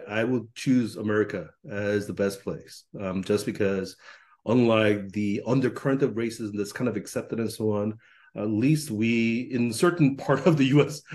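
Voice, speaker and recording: A middle-aged man from Korea talks as a guest in a relaxed interview. His voice sits a little low, and he picks his way through his thoughts with frequent ums and self-corrections. The delivery is measured and reflective. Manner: thoughtful, hedging with many ums and restarts. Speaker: professor and podcast guest. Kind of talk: conversational interview.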